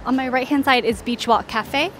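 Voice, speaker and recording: A woman's voice: high-pitched, moderate pace, fairly bright tone, soft background music. A woman hosting a travel vlog, narrating a walking tour in a casual, informative, conversational way, pointing things out in an orderly fashion. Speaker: travel guide and vlog host.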